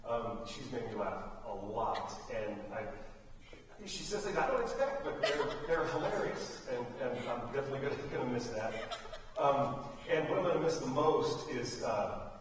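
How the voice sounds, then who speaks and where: An American man giving an informal, folksy speech as a theater director honoring students, halting and often repeating phrases. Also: mid-range voice, expressive. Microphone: distant microphone. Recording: very echoey hall, distant mic, faint noise.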